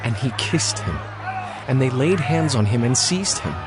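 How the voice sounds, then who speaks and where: A man's voice: mid-range voice, animated, moderate pace, music in the background, crowd noise. A man narrating a dramatized scripture story from a script.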